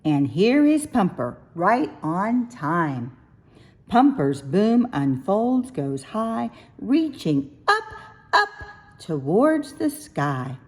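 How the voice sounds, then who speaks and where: A woman reading a rhyming picture book aloud for young children, with expressive, sing-song, deliberate phrasing. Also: mid-range voice, slightly rough. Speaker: children's storybook reader.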